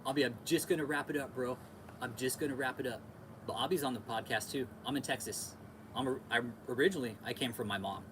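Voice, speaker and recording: A man's voice: mid-range voice, fast talker, background noise. An American man hosting a casual livestream, chatting with viewers in slangy, repetitive bro-talk with plenty of swearing. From California, United States. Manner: laid-back slangy bro-talk, repeats phrases, swears freely. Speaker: podcast and livestream host.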